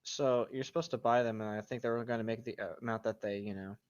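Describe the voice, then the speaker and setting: A man's voice: fairly low pitch, fairly even intonation, fast, a little mellow, smooth, no room sound, lo-fi sound. A man chatting casually with friends and reading a story aloud in an informal way. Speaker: co-reader on a group creepypasta reading.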